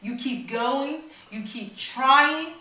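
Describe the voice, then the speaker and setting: A woman's voice: sits high, very slow, pushed and bright. A woman reading a picture book aloud to children and putting questions to them, with an encouraging, teacherly manner. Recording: very echoey room, far from mic.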